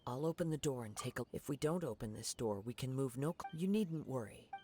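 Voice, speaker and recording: An actor playing a calm young wandering samurai in a video game, speaking in a measured, formal, reflective way. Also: male voice, mid-range voice, slight background noise, lightly acted. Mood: grave, analytical.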